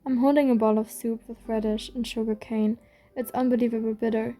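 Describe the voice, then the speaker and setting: Woman reading a story in a flat, even voice. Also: on the high side, a little fast. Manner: even first-person storytelling. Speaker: reader. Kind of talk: reading a game story aloud.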